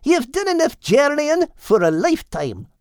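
Friendly little seagull for a children's audiobook, very high, animated, caring. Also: male voice, moderate pace, dry recording, studio quality, heavily acted. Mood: warm, reassuring. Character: friendly, caring Scottish seagull, small storybook bird.

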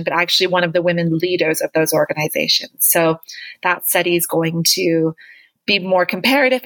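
Earnest low-voiced woman researcher. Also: fairly bright tone, no echo. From Canada. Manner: earnest, thoughtful, with frequent restarts. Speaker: guest author and researcher. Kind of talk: conversational interview.

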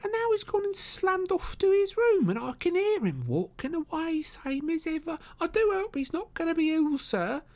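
Man putting on a high, fretful old landlady. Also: big pitch swings, moderate pace, few pauses, heavily acted. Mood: fretful, confiding. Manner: fretful, colloquial, deferential. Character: anxious, deferential older landlady, working-class housekeeper.

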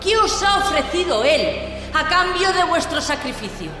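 A woman's voice: high voice, big pitch swings, normal speed. A woman playing a fiery revolutionary leader in an audio drama, giving a declamatory, rousing political speech to workers. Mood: impassioned, defiant. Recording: echoing large hall, loud music underneath.

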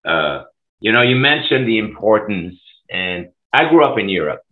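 A man's voice: lowish voice, big pitch swings. A middle-aged man from Switzerland, a school food services director, speaking passionately and emphatically in an interview over a video call. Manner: passionate, emphatic, rapid bursts. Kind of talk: conversational interview. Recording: tinny phone-like line.

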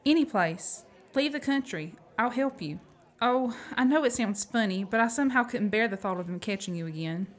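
A woman's voice: a little high, lively intonation, normal speed. A woman acting a plucky, frank young woman in an amateur radio play. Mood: earnest, sympathetic. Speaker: student actor.

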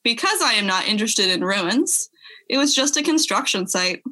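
A woman's voice: mid-range voice, lively intonation, moderate pace, sharp tone. A woman on a podcast, chatting casually and reading reviews aloud with wry amusement. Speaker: podcast speaker.

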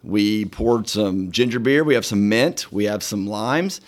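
A man's voice: on the low side, unhurried. A middle-aged man hosting a podcast in a law firm conference room, upbeat, friendly and sincere. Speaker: podcast host and lawyer. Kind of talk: casual podcast chat.

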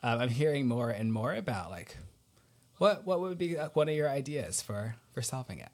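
A man hosting a casual interview podcast, playful and a little halting as he thinks aloud. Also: mid-range voice, sing-song. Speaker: podcast host.

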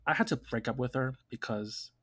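A man's voice: mid-range voice, normal speed, a bit bright, breathy edge. Young man joking on a podcast. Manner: joking, rambling, lots of fillers. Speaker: podcast co-host. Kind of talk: casual podcast chat.

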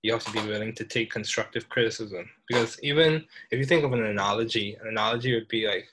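A man from the Bahamas hosting an interview in a conversational, deliberate way, rephrasing his points and questions as he goes. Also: fairly low pitch, a bit bright, a little hiss or hum, poor audio. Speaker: podcast host, interviewer.

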